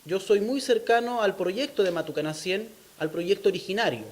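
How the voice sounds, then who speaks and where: High-pitched Chilean man explaining his views. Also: moderate pace. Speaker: interviewee. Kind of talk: thoughtful interview talk on local culture.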